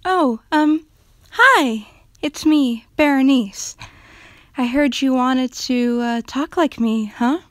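A woman voicing a gentle, timid character who comforts animals, speaking softly and calmly in a breathy near whisper. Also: high-pitched, slow, no echo, studio quality. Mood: shy, friendly. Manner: calm, gentle, hesitant, comforting.

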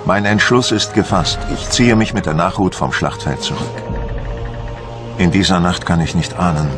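An actor playing a proud, embittered French admiral, solemn and theatrical, in a dramatised documentary monologue. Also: male voice, low-pitched, normal speed, close to an everyday voice. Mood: grim, resolute. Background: music.

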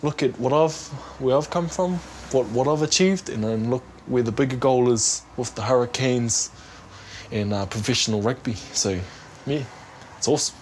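A man's voice: medium pitch, normal speed, a little mellow, rough recording. Hesitant young New Zealand man, faint noise. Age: young adult. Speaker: aspiring rugby player. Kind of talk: casual documentary interview. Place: small bedroom.

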